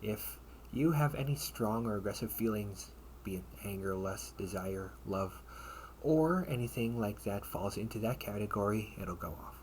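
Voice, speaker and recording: A man playing a protective, sardonic older brother in an amateur audio roleplay, speaking in slangy, halting phrases with casual menace. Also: lowish voice, lively intonation, darkish tone, lightly acted. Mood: smug, menacing. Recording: noisy amateur home recording.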